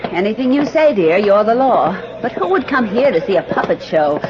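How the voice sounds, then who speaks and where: An actress playing a wry, sharp-witted girlfriend of a detective in an old-time radio drama, teasing and sardonic. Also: medium pitch, sing-song, moderate pace, a crowd in the background, close to an everyday voice. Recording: dull old radio recording, background voices.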